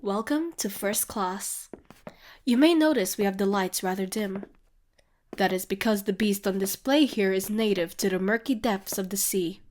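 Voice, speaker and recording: A woman playing a grandiose showwoman guide in a fantasy audio roleplay, with salesmanlike patter and dramatic pauses. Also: mid-range voice, darkish tone, slight background noise, natural voice. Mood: hushed, ominous.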